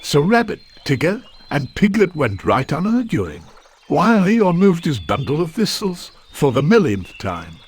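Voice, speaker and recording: Expressive man reading a story. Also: a little high, unhurried, breathy edge. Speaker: storybook narrator. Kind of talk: scripted children's story narration.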